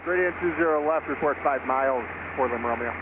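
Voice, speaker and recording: A man's voice: mid-range voice, fast, narrow, tinny audio. A man making pilot position calls to a tower over a thin, noisy aviation radio.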